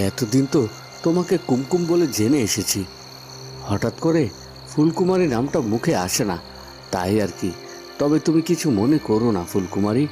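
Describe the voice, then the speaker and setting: A man's voice: mid-range voice, warm tone, close to an everyday voice. A man voicing a courteous young cartoon prince who speaks in a polite, coaxing way. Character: courteous, gently wooing young prince Pratap. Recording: music and crickets behind.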